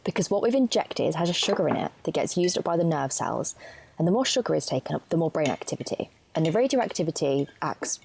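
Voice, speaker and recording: A woman's voice: low voice, big pitch swings, quick pace, gravelly, a little mellow, a little hiss or hum, exaggerated voice. A young woman, a student actor, playing an earnest, matter-of-fact lab scientist explaining research in a naturalistic audio drama. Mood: earnest, explanatory. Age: young adult.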